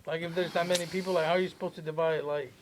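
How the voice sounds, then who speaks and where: A man's voice: on the high side, steady tone, racing, a loud crowd. A man co-hosting a podcast in casual chat, questioning and arguing his points loosely. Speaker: podcast co-host.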